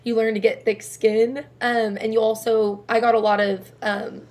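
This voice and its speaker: A young woman singer with a low, raspy voice, chatting casually and laughing often as an interview guest. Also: little pitch movement, moderate pace, a little mellow. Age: young adult.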